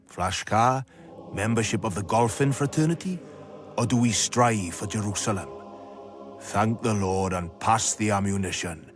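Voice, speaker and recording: An actor playing a wry, worldly narrator in a radio drama, with a husky voice and sardonic, rhetorical delivery. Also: male voice, lowish voice, measured pace, over music, lightly acted. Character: wry, worldly, philosophising male storyteller.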